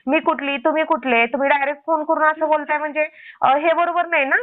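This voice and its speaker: A woman's voice: high voice, steady tone, fast talker. A woman arguing on a phone call, confrontational and firing one indignant rhetorical question after another. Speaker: prank-call video creator. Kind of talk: argumentative phone conversation. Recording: narrow tinny phone-line sound.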